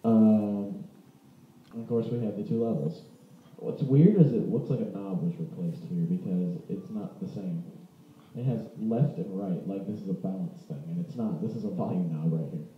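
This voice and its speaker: A man's voice: low-pitched, moderate pace, slight background noise. A man giving a casual, unscripted product demonstration as a vlogger, rambling informally and correcting himself as he goes. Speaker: vlogger presenting vintage audio gear. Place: indoor room. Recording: roomy, muffled home-video sound.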